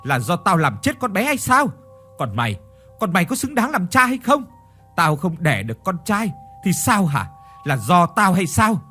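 Man as wronged young wife, choked outrage, wildly swooping pitch, repeated phrases, audio story, music behind. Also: big pitch swings, a little fast, a little mellow, slightly rough. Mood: furious, screaming. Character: wronged young wife, anguished, defiant, bitterly sobbing.

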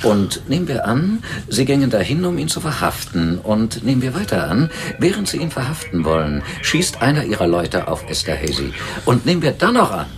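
An actor playing a wary, officious hospital clerk in a radio drama, long-winded, evasive and argumentative. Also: male voice, medium pitch, big pitch swings, normal speed, hardly any pauses. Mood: hedging. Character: stalling, officious male hospital personnel clerk, wary of lawsuits.

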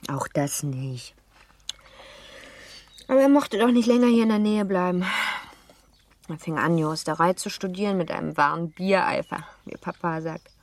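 A woman acting a lively, playful, well-born girl of seventeen in a German radio play, chatty and teasing. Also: fairly low pitch, moderate pace, pauses often. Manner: chatty, teasing, self-assured.

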